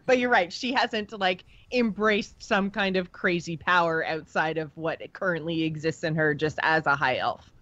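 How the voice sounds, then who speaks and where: A woman's voice: fairly low pitch, moderate pace, hardly any pauses, fairly bright tone, no room sound, lo-fi sound. A woman co-hosting a podcast, talking through game lore in a chatty, informal, enthusiastic way. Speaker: podcast co-host. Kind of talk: casual discussion of video game lore.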